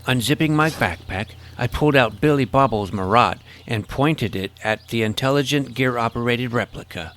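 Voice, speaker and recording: A man's voice: mid-range voice, normal speed, hardly any pauses, a bit bright, close to an everyday voice. A man playing an earnest, awkward boy hero in an audio drama, casual and boyish as he tells his adventure. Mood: reflective. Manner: casual, boyish, hesitant phrasing. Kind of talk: first-person scripted narration and acted dialogue.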